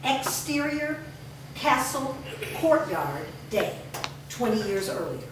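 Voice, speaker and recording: A woman's voice: fairly high pitch, very animated, takes it slowly. A woman reading stage directions as scripted narration. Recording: noisy, very echoey hall from far off.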